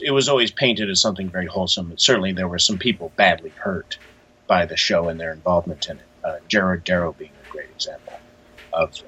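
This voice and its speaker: Male interview guest, forceful raspy edge, measured and reflective, on a slightly muffled lo-fi line. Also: deep voice. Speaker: interview guest, graphic novelist. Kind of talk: casual reflective interview answers.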